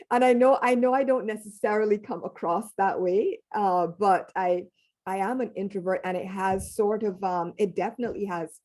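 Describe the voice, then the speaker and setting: A woman's voice: mid-range voice, a little mellow. A woman with a West Indian accent speaking on a panel, talking emphatically and with animation. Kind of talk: panel discussion.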